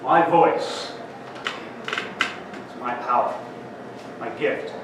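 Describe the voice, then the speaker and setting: A man from Colorado performing spoken word poetry and talking to a conference audience, dramatic, slow, swinging between loud and soft and sometimes breaking into song. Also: medium pitch. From Denver, Colorado. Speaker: poet and speaker at a conference. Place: large conference hall. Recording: very echoey hall, from far off, noisy.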